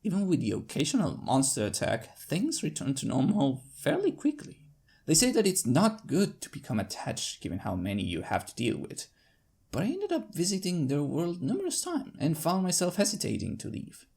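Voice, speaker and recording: A storyteller reading first-person fiction aloud. The voice is husky and creaky at a middle pitch, and it moves freely up and down as each phrase is placed with deliberate drama. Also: huge pitch swings, darkish tone. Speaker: fanfiction narrator. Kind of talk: scripted first-person audiobook narration in character.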